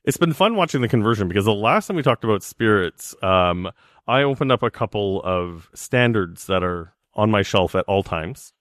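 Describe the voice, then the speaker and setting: A man's voice: fairly low pitch, lively intonation, normal speed, fairly bright tone, no echo, studio quality. A man in his forties co-hosting a podcast, telling stories in a chatty way with frequent ums. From Canada. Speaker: podcast co-host. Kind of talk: casual chat.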